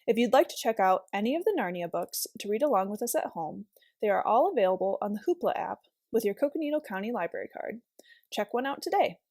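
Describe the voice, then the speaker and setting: A woman's voice: medium pitch, animated, fast, a little mellow, dry recording, studio quality. A woman reading aloud as a volunteer storyteller, narrating a children's novel expressively.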